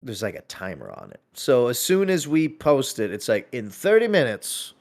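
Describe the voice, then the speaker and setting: A man chatting casually and thinking out loud, halting and repeating words as he works through his ideas. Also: mid-range voice, big pitch swings.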